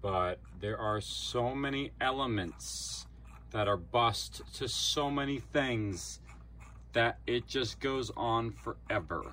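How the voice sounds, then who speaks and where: A man's voice: mid-range voice, takes it slowly, a bit bright, low-quality recording. A man talking casually as he demonstrates his work. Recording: faint room noise and ambience.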